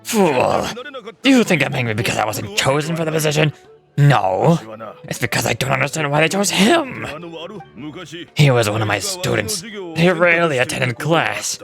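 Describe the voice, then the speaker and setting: A man's voice: fairly high pitch, big pitch swings, normal speed, creaky, over music. A man playing a fussy, formal scholar in theatrical video game dialogue. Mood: indignant.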